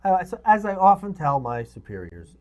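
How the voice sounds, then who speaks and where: A man's voice: high-pitched, sing-song, a little fast, darkish tone, lo-fi sound. A middle-aged man co-hosting a talk show with casual banter. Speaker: co-host.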